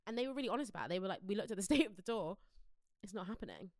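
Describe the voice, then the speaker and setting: A British woman talks informally as a podcast co-host, with a close, dry sound. Her pitch is middling for a woman, and she comes across as opinionated and conversational. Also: lively intonation, rapid-fire, darkish tone, no room sound. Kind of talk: casual pop culture chat.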